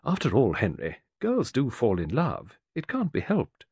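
A man voicing a large, formidable woman who calmly soothes her brother, loyal and dry. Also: medium pitch, measured pace, breathy edge, no room sound, exaggerated voice. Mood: placating. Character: large, formidable, calm woman keeping house.

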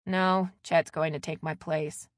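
A woman's voice: low-pitched, steady tone, unhurried, no room sound, clean studio sound. A woman voicing a tired, no-nonsense mother in an audiobook, firm, wry and matter-of-fact. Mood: wry, curious. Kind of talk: audiobook character dialogue.

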